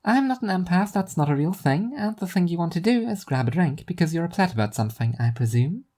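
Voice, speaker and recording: A performer voicing a perceptive, blunt woman on a call in an audio story, brisk and teasing. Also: medium pitch, big pitch swings, a little mellow, voice pushed up. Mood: dry, teasing. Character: perceptive, blunt female empath friend on a call.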